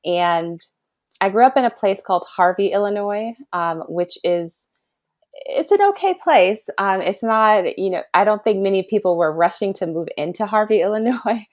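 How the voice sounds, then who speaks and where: A woman's voice: mid-range voice, lively intonation, normal speed, a little mellow. A woman in her thirties from the south suburbs of Chicago, a children's kidney doctor talking earnestly in a casual interview and laughing easily. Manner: thoughtful, earnest, laughs often. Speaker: guest, pediatric kidney doctor.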